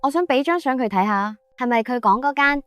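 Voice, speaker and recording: A woman playing an excitable, stubborn young girl cousin in a ghost story, with an animated, insistent delivery. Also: high-pitched, brisk, clear, resonant tone. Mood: uneasy. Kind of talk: Cantonese ghost-story dramatization.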